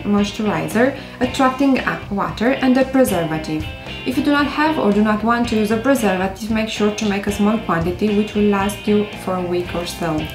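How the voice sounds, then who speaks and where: A woman in her thirties from Romania, speaking English with a Romanian accent, gently hosting a beauty tutorial to camera with frequent hesitations. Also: medium pitch, reverberant, rough recording. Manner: gentle, careful delivery with many hesitations. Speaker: beauty vlogger. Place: indoors by a stone wall.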